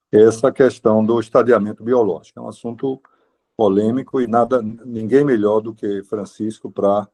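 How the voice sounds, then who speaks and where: A man introducing a guest lecturer over a video call. His voice is low and mellow. He speaks in halting phrases and often restarts mid-sentence. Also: husky.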